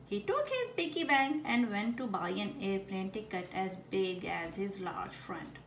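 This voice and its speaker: A woman reads a children's picture book with very animated, swooping intonation. She takes her time and plays up the storytelling in a fairly high voice. The recording is roomy, with some background noise. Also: a little slow. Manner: exaggerated storytelling with playful asides to children. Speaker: children's story reader with a frog puppet. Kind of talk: expressive picture-book read-aloud. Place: indoors at a wooden table.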